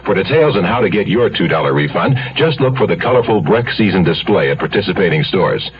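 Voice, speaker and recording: A male radio announcer with a low voice and lively, swinging intonation. He reads a scripted commercial, and the old broadcast recording sounds dull. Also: brisk, soft background music. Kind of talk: scripted radio advertisement narration.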